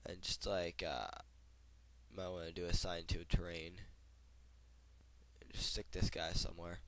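A young man with a head cold, hosting a casual software tutorial and rambling with fillers as he clicks along at his desk. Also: sits low, level tone, a little slow. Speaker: tutorial host. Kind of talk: casual screen-recorded tutorial.

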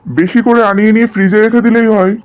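High-pitched grumbling husband played by a man. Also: fairly even intonation, fast talker, smooth, dull audio, natural voice. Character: grumbling middle-aged Bengali husband of the household. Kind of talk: animated cartoon family drama dubbing.

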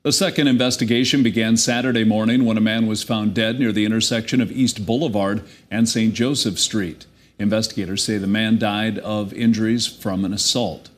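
Man reading tv news, lowish husky voice, formal and crisp. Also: fairly even intonation, a little fast. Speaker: news anchor. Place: TV news studio.

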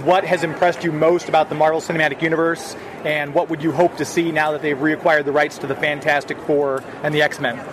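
A man's voice: on the high side, flat delivery, brisk, few pauses, noisy background. A man hosting a podcast interview, asking questions in an eager, chatty way. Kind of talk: casual interview. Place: convention floor.

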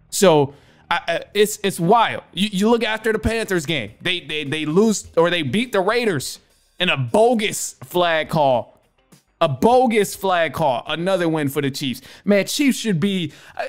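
A young man hosting a sports podcast from a home studio, reacting emphatically with lots of restarts and repeated phrases. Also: sits high. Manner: emphatic, repetitive, stammering restarts. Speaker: podcast host. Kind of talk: casual sports commentary. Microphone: studio mic with headphones.

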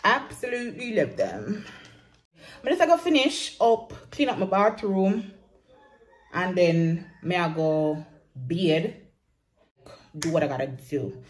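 Young Jamaican woman, home vlogger, lowish voice, relaxed chatty delivery, phone at arm's length. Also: takes it slowly, long pauses, rough recording. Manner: chatty, relaxed, slips into patois. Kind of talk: casual vlog chat to camera. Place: small home bathroom and bedroom, indoors. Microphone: phone camera at arm's length.

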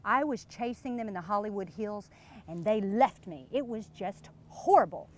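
A woman's voice: medium pitch, animated, normal speed, natural voice. An American woman, probably in her thirties, playing a flirty, giggly, boastful glamorous wife in an improvised, gushing mock interview. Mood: dramatic. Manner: coy, gushing, suggestive, giggly. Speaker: interviewee in character. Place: outdoors beside a lake.

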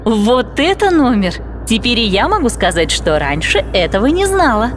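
Lively, high-voiced woman hosting for kids. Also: moderate pace, background noise. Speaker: host of a children's video. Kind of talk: children's cartoon narration.

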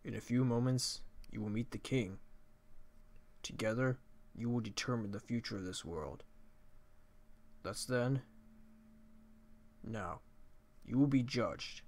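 A man voicing a laid-back skeleton from a video game, a joker and judge who speaks in casual, deliberate phrases. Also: mid-range voice, darkish tone, natural voice. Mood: solemn, grave. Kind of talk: character voice acting of video game dialogue.

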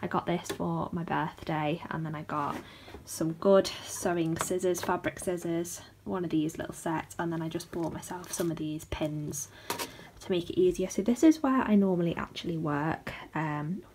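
A young woman talks casually into a phone held at arm's length in her bedroom. Her voice sits a little low for a woman, and she keeps it soft and hushed, sometimes close to a whisper. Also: moderate pace, slight background noise. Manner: soft chatty, often hushed to a near whisper. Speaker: vlogger. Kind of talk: casual vlog chat. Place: bedroom, indoors.

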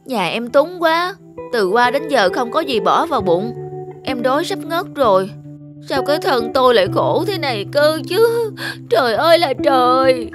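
A woman voicing a lazy, self-pitying young peasant man in an animated folk tale, whining and complaining. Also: high-pitched, normal speed. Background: background music.

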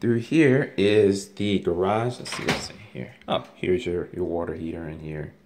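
Slow, deep-voiced man. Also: fairly even intonation, background noise. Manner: halting, many ums and uhs. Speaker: realtor giving a home tour. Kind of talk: casual walking tour narration. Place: inside an empty house. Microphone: handheld phone camera. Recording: echoey empty rooms.

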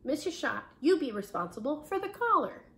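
A woman's voice: sits high, very animated, a little fast, echoey room, pitched above the performer's own voice. A woman voicing a stern, pompous male school principal in a storybook reading. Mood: stern, commanding. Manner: commanding, formal, pompous.

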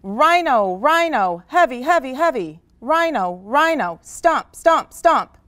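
A heavy, forceful storybook rhino, put on by a woman, hollering out each word. The voice sits raised above everyday talk, with lively pitch swings. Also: takes it slowly, no echo, full character voice. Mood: forceful, chanting. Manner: hollering, emphatic chanting.

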